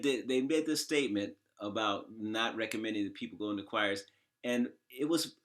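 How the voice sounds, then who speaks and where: A man hosting a discussion, talking off the cuff in a halting, emphatic and opinionated way. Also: mid-range voice, fairly bright tone. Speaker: host interviewer. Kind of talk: conversational, off-the-cuff commentary.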